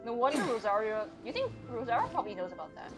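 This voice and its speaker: A woman's voice: on the high side, animated, fast. A woman chatting casually as she streams a game, reacting aloud in informal, slangy language. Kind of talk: casual live gameplay commentary. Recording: dull lo-fi sound, game music behind. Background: game music.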